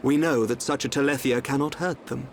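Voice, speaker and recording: An actor playing a calm, enigmatic young seer in a video game, speaking in a smooth, cryptic, unhurried way. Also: male voice, mid-range voice, slight background noise, lightly acted. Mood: cool, smug.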